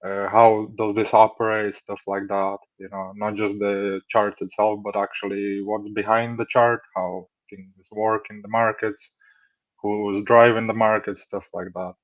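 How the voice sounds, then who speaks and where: A man in his thirties from Latvia, answering interview questions in a relaxed, rambling way with many fillers. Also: lowish voice, a little slow, no room sound. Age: 30s. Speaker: funded trader, guest. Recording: dull dry lo-fi audio.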